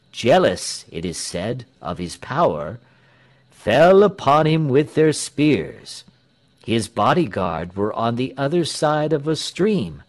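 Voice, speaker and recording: Man reading as grave pastor, slow and portentous. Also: medium pitch. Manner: measured, portentous. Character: grave pastor bearing momentous news. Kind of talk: storybook reading.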